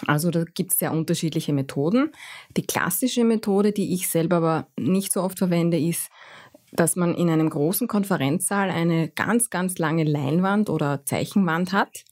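A middle-aged Viennese woman answers interview questions with a somewhat low voice. She speaks in a thoughtful, hesitant way, filling pauses often as she explains her craft. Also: darkish tone. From Vienna, Austria. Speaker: guest, graphic recorder.

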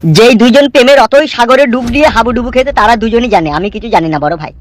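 A man's voice: extremely high pitch, fast, no echo. A man voicing a cheeky, melodramatic gang lackey with theatrical back-talk in a comedy cartoon, music behind. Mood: cheeky reporting. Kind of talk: Bengali comedy cartoon dubbing.

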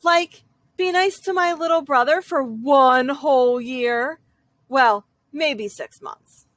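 A woman with a high voice reads a children's storybook aloud. She takes it slowly, stretching key phrases for dramatic effect. The sound is dry, with no room echo. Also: fairly bright tone. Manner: dramatic, drawn-out emphasis on repeated phrases. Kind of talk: expressive children's picture-book read-aloud in a young girl's first person.

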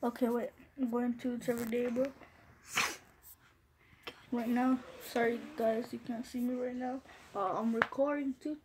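A young woman with a high voice chats casually to a livestream in a giggly, excitable way. It is an amateur phone recording with faint noise and small clicks. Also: normal speed, long pauses. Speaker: livestreamer making prank calls.

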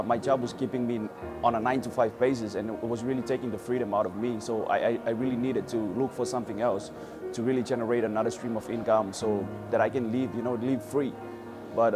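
Earnest man, halting, over music. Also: medium pitch. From Samoa. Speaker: fire knife performer. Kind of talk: promotional testimonial. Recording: music bed underneath.

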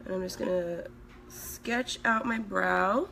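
A woman in her thirties from Sweden, a makeup artist chatting on a live stream from home with a hoarse, recovering voice while she works and reads out viewer comments. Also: medium pitch, takes it slowly, pushed and bright, a little hiss or hum. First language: Swedish. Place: indoors at home, small room. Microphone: phone at arm's length.

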